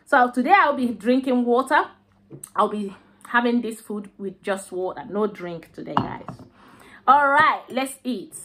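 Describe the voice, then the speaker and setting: A young Nigerian woman whose first language is Igbo, filming a mukbang eating vlog and humming slowly with pleasure between bites. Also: a little high, animated, fairly bright tone. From southeastern Nigeria. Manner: slow, savoring, with drawn-out hums between bites. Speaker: food vlogger.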